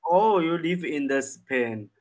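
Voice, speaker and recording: A man in his thirties with a Chinese accent, practising casual English conversation as a learner in halting, simple broken English with repeated phrases. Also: on the high side, expressive, darkish tone. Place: small room indoors, video call. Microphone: desk microphone.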